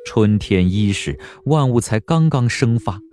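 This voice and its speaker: A man narrating a period audio drama in a measured, literary storytelling style. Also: lowish voice, animated, a little mellow, soft background music.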